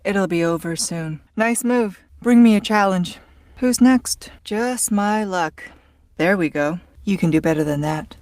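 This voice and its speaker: A woman's voice: mid-range voice, unhurried, darkish tone, no room sound, lightly acted. An actress voicing a cool, sardonic female secret agent in a video game, with clipped, dry quips. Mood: cool, teasing.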